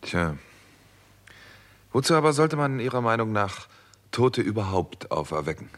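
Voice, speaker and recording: An actor playing a hard-boiled, sardonic doctor turned investigator in a 1960s German radio crime drama, with dry, terse delivery. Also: male voice, on the low side, sing-song, measured pace. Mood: sceptical. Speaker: first-person narrator and protagonist. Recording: noisy old radio recording with clicks.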